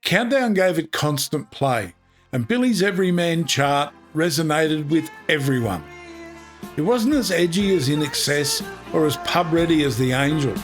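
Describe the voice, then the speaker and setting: A man's voice: fairly high pitch, animated, moderate pace. An Australian man presenting music history in upbeat, colloquial scripted narration. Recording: music playing behind. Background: background music.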